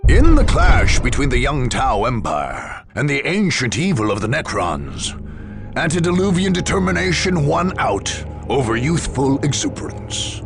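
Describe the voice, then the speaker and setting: Slow, gravelly war chronicler. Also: male voice, medium pitch. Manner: solemn, theatrical, deliberate phrasing. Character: grave male chronicler narrating a grim far-future war. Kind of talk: dramatic scripted narration.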